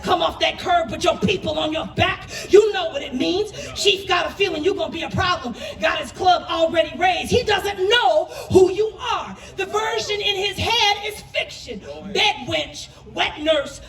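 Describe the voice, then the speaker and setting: A woman's voice: extremely high pitch, creaky, fairly bright tone. A woman performing spoken word poetry with an impassioned, emphatic and dramatic delivery. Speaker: poet. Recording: roomy echo, distant microphone.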